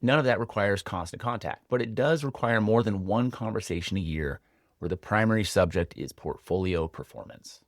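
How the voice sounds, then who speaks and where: An American man working as a financial advisor and show host, explaining things to viewers in a measured, reflective way with rhetorical questions. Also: lowish voice, dry recording, studio quality. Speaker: financial advisor hosting a retirement planning show. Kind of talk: explanatory advice talk to camera.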